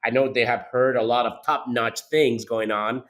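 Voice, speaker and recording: A man's voice: mid-range voice, fairly even intonation, moderate pace, fairly bright tone. Man hosting with restarts. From Costa Rica. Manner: repeats words, hesitant restarts. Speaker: podcast host. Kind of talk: interview hosting.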